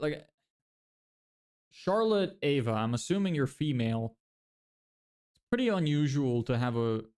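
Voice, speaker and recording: Smooth-voiced young man livestreaming. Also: mid-range voice, darkish tone. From Lithuania. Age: 20s. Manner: thinks aloud, self-correcting, frequent fillers. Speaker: livestreamer building a business. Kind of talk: casual livestream chat reading viewer questions.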